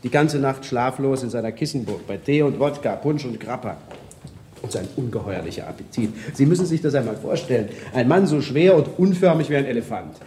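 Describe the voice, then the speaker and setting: A man's voice: mid-range voice, a little fast, slight background noise, natural voice. An actor playing a worldly, amused, cynical bourgeois ex-revolutionary, telling his stories expansively and sardonically in a radio drama. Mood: animated, amused. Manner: sardonic, animated, expansive storyteller. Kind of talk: 1970s German radio drama acting.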